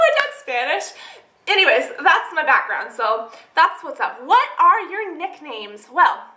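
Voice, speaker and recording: A young woman vlogger chatting casually to her viewers in an animated, rambling way. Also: sits high. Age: young adult. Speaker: beauty and lifestyle vlogger. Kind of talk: casual chat answering tag questions to camera. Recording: echoey room.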